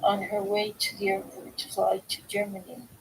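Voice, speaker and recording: A woman reading a book aloud informally, in a halting way, sometimes stumbling over words and correcting herself. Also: mid-range voice, monotone, a little hiss or hum, rough recording. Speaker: reader of a book aloud, video host. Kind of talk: informal read-aloud of a self-help philosophy book.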